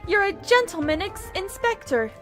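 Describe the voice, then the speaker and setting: An eager young female sidekick from a video game, acted by a woman, with an extremely high, bouncy voice. She gushes with earnest devotion and cheerful menace over faint music. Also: big pitch swings, moderate pace, a bit bright, exaggerated voice. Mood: insistent.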